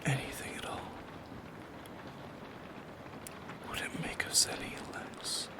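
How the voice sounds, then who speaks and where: Whispering, lonely shadow creature, voiced by an ASMR performer. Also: natural voice. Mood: tender, pensive. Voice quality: breathy whisper. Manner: slow, hesitant, philosophical musing. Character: lonely, wistful shadow creature haunting the listener at night. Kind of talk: soft-spoken ASMR monologue.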